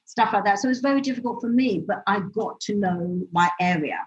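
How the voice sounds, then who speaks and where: A woman from Nigeria with a British accent, chatting as a podcast guest. She tells her story in a relaxed, storytelling way and laughs easily. Her audio is a bit dull and lo-fi, and her pitch sits in the middle. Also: a little fast. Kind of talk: casual interview conversation.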